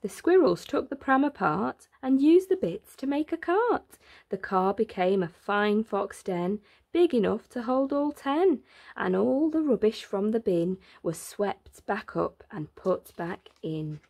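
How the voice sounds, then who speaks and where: A woman reading a rhyming children's picture book aloud in an expressive, deliberate way, drawing out words for emphasis. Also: medium pitch, gravelly. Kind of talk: expressive read-aloud of a rhyming bedtime story.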